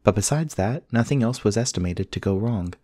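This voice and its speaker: A man playing a polite, earnest companion robot in an ASMR roleplay, speaking in formal, measured, precise sentences. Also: fairly low pitch, expressive, mellow, no room sound, studio quality. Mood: matter-of-fact. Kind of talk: scripted ASMR roleplay acting.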